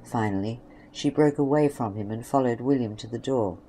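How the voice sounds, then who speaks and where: A man's voice: mid-range voice, normal speed, warm tone, slight background noise, low-quality recording. A man reading scripted story narration for an audio drama.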